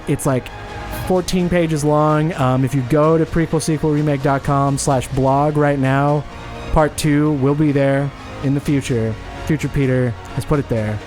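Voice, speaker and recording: A man with a fairly high voice hosts a comedy podcast. Loud music plays behind him as he speaks. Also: measured pace. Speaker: podcast host. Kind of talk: dramatic scripted narration, then casual chat.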